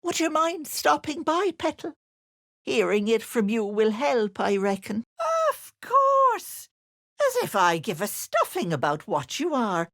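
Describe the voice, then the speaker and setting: A woman's voice: on the high side, very animated, measured pace, fairly bright tone, no room sound, studio quality, heavily acted. An actress voicing an older, scheming auntie witch in a video game, with a honeyed, mocking way of talking. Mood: scornful. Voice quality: honeyed, mocking, sly endearments. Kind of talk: game voice lines.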